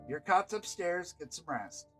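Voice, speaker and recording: A man's voice: high voice, very animated, measured pace, a bit bright, faint music behind, heavily acted. A man voicing a brusque, exasperated female guild leader in a story, curt and commanding. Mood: brisk.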